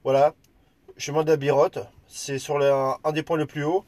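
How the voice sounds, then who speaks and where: High-voiced man on CB radio. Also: level tone, normal speed, darkish tone, no room sound. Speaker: amateur CB radio operator. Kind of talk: radio calls and casual chat.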